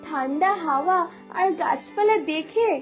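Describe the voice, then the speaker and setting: A young woman home after years away, wistful and dreamy, played by a woman in a cartoon story. She speaks slowly in a very high, light voice over soft music. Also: expressive. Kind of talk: cartoon voice acting.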